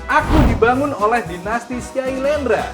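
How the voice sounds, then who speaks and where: A man's voice: extremely high pitch, animated, normal speed. A man hosting a quiz show, reading out clues and scores with emphatic, stretched key words. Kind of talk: lively game show presenting. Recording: music playing behind the voice.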